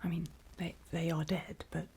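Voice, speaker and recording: A woman playing a weary, wary zombie apocalypse survivor in a halting, breathy whispered ASMR horror roleplay. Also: on the low side, flat delivery, warm tone. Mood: grim, hushed. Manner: halting, hesitant, slow and trailing off.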